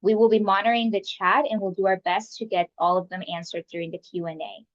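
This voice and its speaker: A woman's voice: mid-range voice, steady tone, fast talker. A woman hosting an online webinar, reading a polite, welcoming scripted introduction over a video call. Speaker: webinar host and community coordinator. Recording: muffled webinar audio.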